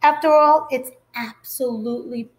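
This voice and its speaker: A woman voicing a wordy, doting storybook father, savoring each word deliberately. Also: high-pitched, natural voice.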